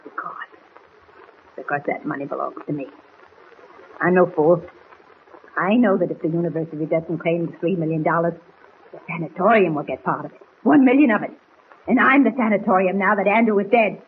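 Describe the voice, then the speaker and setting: A woman's voice: lowish voice, brisk, long pauses, close to an everyday voice. An actress playing a half-mad, bitter woman villain in a 1940s radio drama, menacing, mocking and melodramatic. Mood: angry, mocking. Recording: tinny, noisy 1940s radio recording.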